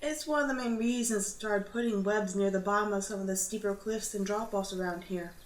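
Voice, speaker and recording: A woman voicing a gently teasing, motherly drider, a spider woman of the forest, in an ASMR roleplay. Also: mid-range voice, a little fast, close to an everyday voice. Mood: soothing. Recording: roomy echo, audible background noise.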